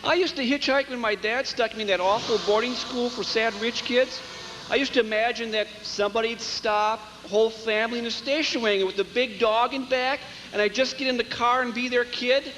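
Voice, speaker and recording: A man's voice: very high-pitched, fairly even intonation, fairly quick, noisy background. A man playing a whiny, earnest rich young boy, plaintive and gee-whiz, in a comic radio drama. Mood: wistful. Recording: hall reverb, music behind.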